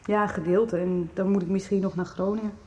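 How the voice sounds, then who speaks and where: A woman's voice: mid-range voice, fairly even intonation, brisk, a little mellow, slight background noise, natural voice. An actress in a radio soap playing an earnest, vulnerable young woman. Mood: frightened, sad. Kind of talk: 1990s radio soap acting.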